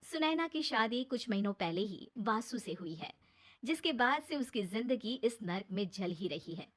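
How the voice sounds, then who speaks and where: A woman serves as storyteller for a scripted family tale. Her voice is very high and bright, delivering prepared narration. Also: animated, moderate pace.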